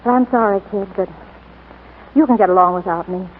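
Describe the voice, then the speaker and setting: A woman's voice: mid-range voice, a little fast, natural voice. Actress as a brave tender young woman, tinny old radio. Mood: brisk, affectionate. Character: brave, tender, injured young woman hiding her secret. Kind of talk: 1940s sentimental radio drama acting. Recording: scratchy, tinny 1940s radio broadcast.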